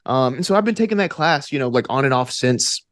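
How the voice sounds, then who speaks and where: A man's voice: medium pitch, expressive, a little fast, fairly bright tone, no room sound. Young Southern man chatting casually, dry close sound. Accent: Alabama Southern. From Alabama. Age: 20s. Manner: enthusiastic, informal slangy talk. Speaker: guest, actor and stage combat student. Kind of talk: casual interview chat.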